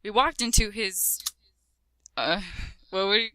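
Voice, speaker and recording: A woman's voice: medium pitch, measured pace, bright, forceful tone, no echo. A woman reading a parody fan story aloud with a friend, often breaking into giggles mid-sentence. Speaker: co-reader.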